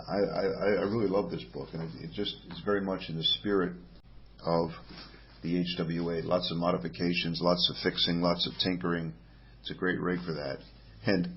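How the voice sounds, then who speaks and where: A man's voice: low-pitched, breathy edge, dull audio. A middle-aged man hosting a casual solo podcast from home, rambling with many fillers and restarts. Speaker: podcast host.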